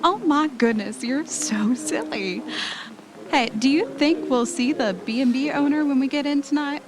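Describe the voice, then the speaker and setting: A woman playing a fond, careful wife on vacation in an audio drama, chatty and gently chiding. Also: high voice, normal speed, crowd noise, lightly acted. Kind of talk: audio drama acting, conversational. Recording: faint background noise.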